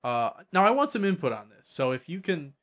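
A man's voice: fairly high pitch, big pitch swings, a little fast, a bit bright. A man chatting casually as a podcast host about tabletop gaming, often repeating words and trailing off.

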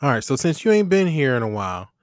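A man's voice: medium pitch, sing-song, fast talker, no echo, soft background music. Young male podcast host, easygoing slang, dry close-miked sound. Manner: laid-back slangy, laughs often. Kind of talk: casual fantasy football chat.

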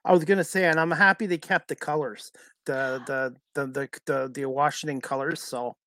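A man hosting a casual podcast, talking in a halting way with many fillers and restarts. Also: medium pitch, fairly even intonation, bright, forceful tone, dry recording. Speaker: podcast host.

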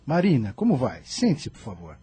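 A man's voice: medium pitch, wildly swooping pitch, normal speed, background noise. An actor playing an attentive, concerned male doctor in a radio soap opera.

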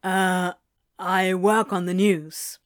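A woman voicing a grumpy, irritated man who sounds flat and weary. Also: lowish voice, slow pace, pitched below the performer's own voice.

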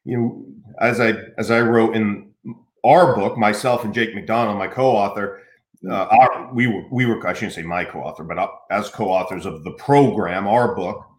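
A man's voice: lowish voice, moderate pace, echoey room. A middle-aged American man hosting a podcast, talking earnestly in a casual interview with many restarts. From United States. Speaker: podcast host.

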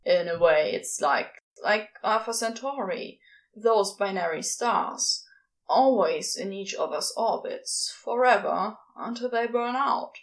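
A woman voicing a gruff, lovestruck male celestial being, confessing in halting, casual, clipped speech for audio fiction. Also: mid-range voice, close to an everyday voice, low-quality recording. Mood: halting, earnest.

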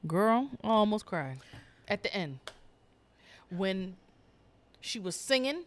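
Frank, lively-voiced woman co-host. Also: medium pitch, slow. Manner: frank and teasing, swears freely. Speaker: podcast co-host. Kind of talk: casual chat.

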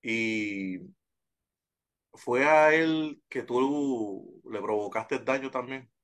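A man interviewing a wrestler, asking questions in a relaxed, conversational way. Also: mid-range voice, drawn-out words.

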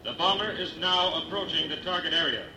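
An actor playing a stern military announcer giving formal, clipped orders in a 1950s radio drama. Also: male voice, a little high, a little fast, bright, forceful tone. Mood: urgent, official. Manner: formal, clipped public-address delivery. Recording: noisy, echoing 1950s radio broadcast recording.

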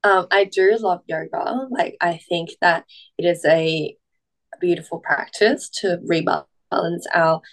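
Woman, Cantonese first language, podcast guest, slow, lowish voice, gentle. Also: steady tone, low-quality recording. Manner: gentle, unhurried, many "um" pauses. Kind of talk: casual podcast conversation.

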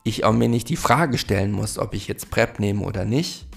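A man's voice: lowish voice, moderate pace, gravelly, breathy edge, faint music behind. A man in his forties from Germany hosting a solo podcast, talking in a reflective, rambling way with many fillers. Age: 40s. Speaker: podcast host.

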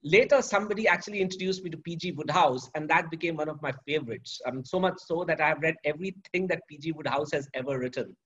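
A middle-aged man, a professor answering interview questions in a measured, storytelling way. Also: on the high side, a bit bright, slightly rough. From India. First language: Sanskrit. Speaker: guest, professor. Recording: dull lo-fi audio.

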